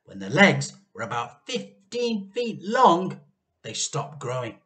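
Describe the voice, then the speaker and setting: A man in his fifties reading a children's story aloud, animated and emphatic, drawing out key words. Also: a little high, slow. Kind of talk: expressive children's storybook narration. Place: small living room indoors.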